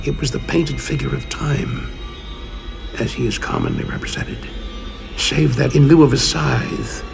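A man giving a slow, brooding dramatic reading of gothic tales in an audiobook trailer. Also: mid-range voice, gravelly. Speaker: audiobook reader. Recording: trailer with music bed.

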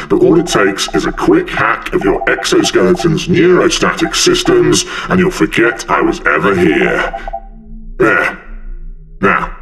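A cocky, mocking saboteur, with a deep voice. He is flippant and sarcastic, in a science fiction audio drama with some music behind. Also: a bit bright, full character voice, low-quality recording.

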